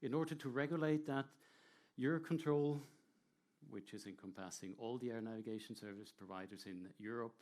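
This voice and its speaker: A man presenting a technical talk to an audience in a measured, explanatory way, with short hesitant pauses. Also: mid-range voice, darkish tone. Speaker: air traffic control presenter.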